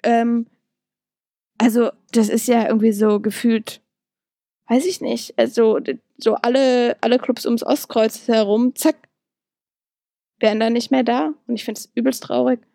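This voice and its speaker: A young woman with a Berlin accent chats on her podcast in a rambling, easygoing way, full of little fillers and restarts. Her voice sits a touch high but sounds warm and mellow, and the recording is close and dry. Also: level tone, a little slow, vocal fry, pauses often, no echo. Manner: chatty, many fillers and restarts, laughs often. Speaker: podcast co-host. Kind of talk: casual podcast chat.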